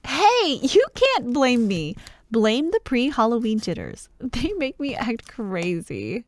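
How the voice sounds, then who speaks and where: A woman playing an excitable, teasing young childhood friend who loves Halloween, in an ASMR roleplay addressed to the listener. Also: on the high side, takes it slowly, heavily acted. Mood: playful. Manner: playful, teasing, animated, with frequent giggles and mock drama.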